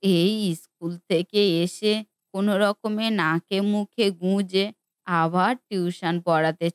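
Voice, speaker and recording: A woman's voice: on the low side, dry recording, studio quality. A woman playing a doting elderly widowed mother in a cartoon family drama, speaking in a reflective, motherly way.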